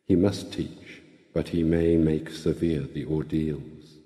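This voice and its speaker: A man solemnly reciting a sacred text in a grave, measured, incantatory manner, with long reverb. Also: extremely low pitch, steady tone, warm tone.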